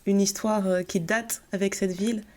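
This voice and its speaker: A woman from northern France, a podcast guest, telling her story in a relaxed, chatty way with many fillers and restarts. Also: medium pitch, moderate pace. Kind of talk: casual storytelling chat.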